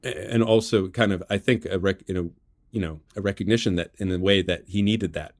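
Male interview guest, notably deep voice, very dry sound, measured explainer of political ideas. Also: dry recording. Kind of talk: analytical political conversation.

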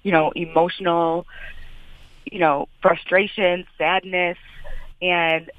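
A woman's voice: on the low side, little pitch movement, slow pace, no echo. A woman in her late thirties from Michigan giving an earnest conversational account as an interview guest, repeating phrases for emphasis. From Michigan, United States. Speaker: interview guest, community activist. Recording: thin phone-like line.

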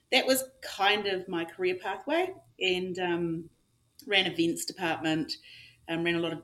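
A middle-aged woman with a New Zealand accent, a ski resort manager chatting in an interview, often pausing with um and you know. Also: low voice, a bit bright. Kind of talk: casual interview answers.

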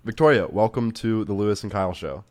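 A man in his twenties co-hosting an interview podcast, speaking in a relaxed, slightly hesitant way. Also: lowish voice, fast talker. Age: 20s. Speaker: podcast co-host.

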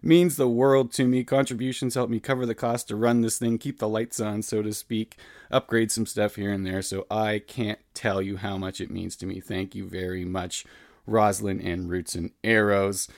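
A man's voice: on the low side, little pitch movement, normal speed, dry recording, studio quality. A man hosting a podcast, reading his intro and interviewing a guest in an upbeat, appreciative way. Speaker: podcast host.

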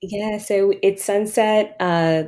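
A woman's voice: medium pitch, steady tone, slow, a little mellow. A woman speaking conversationally as an interview guest. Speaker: podcast guest, financial coach in philanthropy.

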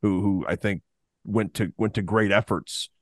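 A man's voice: lowish voice, normal speed, dry recording, clean studio sound. A man from the Southern United States, co-hosting a podcast and telling stories with stammering restarts. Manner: stammering restarts, animated storytelling. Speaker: podcast co-host. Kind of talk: casual sports podcast chat.

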